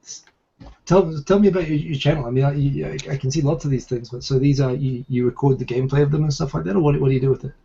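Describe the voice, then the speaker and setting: A man with a softened New Zealand accent hosting a casual live video chat, talking in halting restarts and repeated words. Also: on the high side, fairly even intonation, creaky, husky, highs cut off. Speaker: live show host. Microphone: video call.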